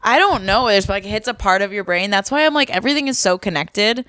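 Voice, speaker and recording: A woman's voice: mid-range voice, animated, fairly quick, bright, forceful tone, no echo. A young woman from Boston co-hosting a podcast, rambling and musing in casual chat. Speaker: podcast co-host. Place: Miami home.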